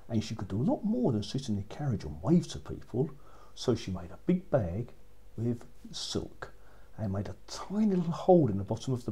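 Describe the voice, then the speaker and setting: A man narrating a children's story as a storyteller, with expressive, dramatic delivery. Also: medium pitch, breathy edge.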